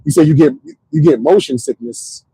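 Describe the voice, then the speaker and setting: Lively gravelly man with a young voice. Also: mid-range voice, normal speed, no room sound, rough recording. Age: middle-aged. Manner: animated motivational rambling, repeats words, says "you know what I'm saying". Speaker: host. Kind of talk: casual livestream talk.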